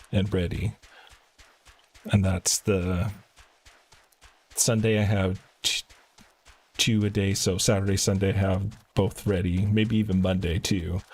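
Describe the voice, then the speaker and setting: A man with a deep, husky voice streams a video game. He talks casually to viewers, commenting on play and plans in an informal way. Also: a little mellow.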